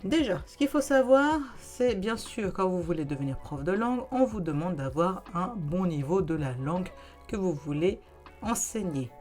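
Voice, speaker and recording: A woman's voice: fairly low pitch, lively intonation, normal speed, darkish tone, soft background music. A young adult woman hosting a casual vlog, explaining things in a chatty way straight to her viewers. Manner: chatty, conversational, addresses viewers directly. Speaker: YouTuber giving practical advice.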